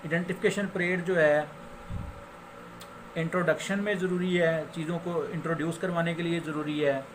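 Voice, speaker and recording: A man with a Pakistani accent and a slightly high voice teaches in an informal, explanatory lecture style. He mixes in English legal terms and slows down haltingly when reading them out. There is some background noise on the recording. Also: steady tone. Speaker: law lecturer and advocate.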